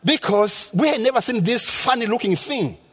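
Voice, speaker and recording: A man's voice: extremely high pitch, expressive, normal speed. A man from rural Rwanda with a Rwandan accent, preaching a sermon in an emphatic, teaching manner that leans on repetition. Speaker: guest preacher. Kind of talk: sermon with personal testimony. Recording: reverberant hall sound.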